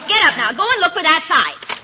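An actress playing an exasperated, sharp-tongued colonial farm wife who nags, in 1940s radio style. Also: female voice, extremely high pitch, very animated, fairly quick, a faint crowd, heavily acted. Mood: scolding. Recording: muffled old 1940s radio recording, faint noise.

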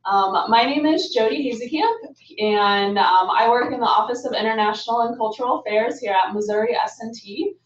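A woman's voice: fairly high pitch, normal speed, recorded from a distance, slight background noise. A young adult woman hosting a webinar in a friendly, upbeat way with lots of "um"s. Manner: upbeat, with frequent "um" fillers. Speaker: webinar host, international affairs staff. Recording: muffled, roomy, from off the mic.

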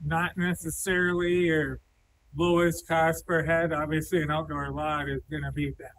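A man's voice: on the high side, level tone, moderate pace, a bit bright, a little hiss or hum, low-quality recording. A man in his thirties interviewing a farmer in a large barn, conversational and prompting with questions. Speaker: interviewer for a silo company. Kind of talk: on-site interview. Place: indoor cattle barn, large, open-sided.

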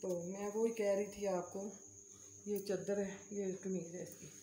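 A Pakistani woman talks casually to her vlog viewers in a homely, pious, repetitive way. Her voice is mellow and fairly even in melody. The room adds clear echo. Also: medium pitch, measured pace. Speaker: vlogger. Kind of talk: casual home vlog chat. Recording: roomy echo, faint background hiss.

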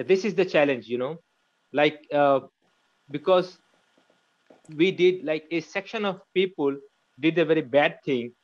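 A middle-aged man answers questions earnestly, circling back over his words as he explains. His voice sits a little higher than most men's. The sound comes through a dull, lo-fi video call line. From Bangladesh. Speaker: advocate, guest speaker. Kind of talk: answering questions in an online talk. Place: small room indoors. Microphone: earphones on a video call.

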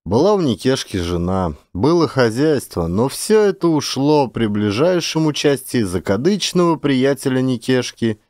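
A man tells a literary tale in the manner of a one-actor audio play. His delivery is expressive and theatrical, with lively swings in pitch around a middle register. The sound is dry. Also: moderate pace, hardly any pauses, dry recording. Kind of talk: dramatized literary storytelling narration.

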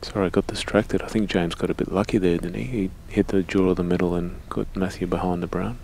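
A man calmly analysing the shots as co-commentator on a live snooker stream. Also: sits low, quick pace, vocal fry. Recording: noisy live stream audio.

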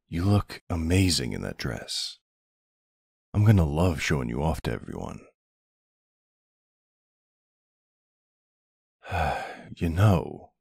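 A man playing a tender but commanding mafia boss husband in an ASMR roleplay, with a low, gravelly, husky voice. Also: darkish tone, dry recording, studio quality, exaggerated voice. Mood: tender, reassuring.

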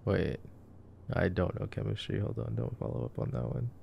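A man's voice: low voice, expressive, normal speed, creaky, mellow, slight background noise. A young man giving casual ASMR movie commentary in a laid-back, joking, murmured way. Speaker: ASMR boyfriend roleplayer commenting on a film.

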